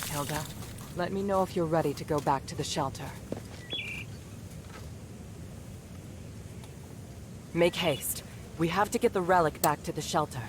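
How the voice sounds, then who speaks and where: A woman acts as a resolute noblewoman leader from a fantasy game. Her voice sits on the low side and stays composed and formal. There is a clear rumbling noise behind her. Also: brisk, lightly acted. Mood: brisk. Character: resolute young noblewoman leader, loyal and proud. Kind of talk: dramatic game cutscene acting.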